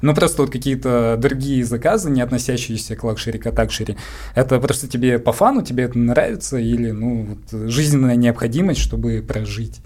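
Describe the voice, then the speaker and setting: A man's voice: medium pitch, fairly quick, darkish tone. A man working as an interviewer, asking probing questions in a casual, conversational way.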